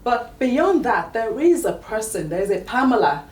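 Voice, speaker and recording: A woman hosting an interview show, welcoming her guest formally and posing long, drawn-out questions. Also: a little high, sing-song, darkish tone, recorded from a distance. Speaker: talk show host. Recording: echoey room with faint music, away from the mic.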